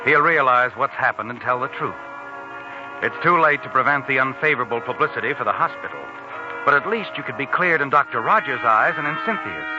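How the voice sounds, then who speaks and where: A male actor plays a knowing 1940s radio narrator who needles the troubled hero. His delivery is deliberate and insinuating, with lively swings of pitch. Music plays behind him on a dull, aged broadcast recording. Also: close to an everyday voice. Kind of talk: 1940s radio thriller narration in second person. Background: dramatic music.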